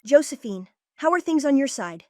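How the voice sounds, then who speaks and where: A woman's voice: sits high, animated, normal speed, mellow, no room sound. A woman voicing an earnest, bossy young boy who leads a team in a comic dub, urgent and commanding. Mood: businesslike.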